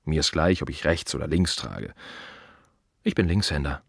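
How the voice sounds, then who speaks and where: An actor playing an earnest, proud and reflective gravedigger in a radio play monologue, speaking in measured, short clipped phrases with pauses. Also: male voice, extremely low pitch, lively intonation, creaky, husky, no echo, clean studio sound, heavily acted. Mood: earnest, matter-of-fact.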